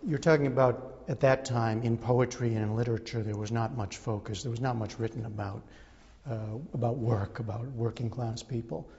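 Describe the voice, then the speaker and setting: A man asking measured questions as a broadcast interviewer. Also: medium pitch, steady tone, darkish tone. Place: indoor art gallery. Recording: muffled, reverberant gallery room.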